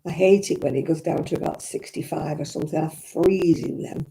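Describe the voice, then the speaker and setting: An older woman talks casually to her live viewers, greeting them as she rambles on. Her voice is croaky and very dark and mellow in tone. The audio from her simple mic has a crackle to it. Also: sits low, brisk. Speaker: crafting livestream host. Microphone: own simple mic, live stream.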